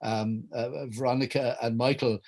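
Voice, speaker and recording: A middle-aged or older Irish man telling wry anecdotes as a guest, in a hesitant, halting manner. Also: mid-range voice, fairly even intonation, darkish tone. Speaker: guest, writer and director. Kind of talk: anecdotal reminiscence in a public conversation.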